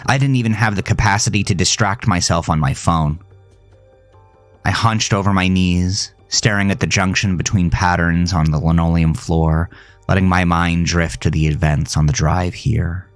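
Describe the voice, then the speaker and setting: A man narrating a first-person horror audio drama in a somber, dramatic manner with deliberate phrasing. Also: low-pitched, steady tone, dry recording. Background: music.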